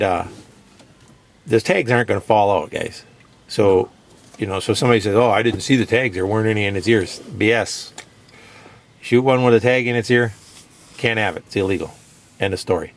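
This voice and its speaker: A man hosting a radio show, talking in an emphatic, opinionated, casual way and leaning on "you know" and "guess what". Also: fairly low pitch. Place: radio studio.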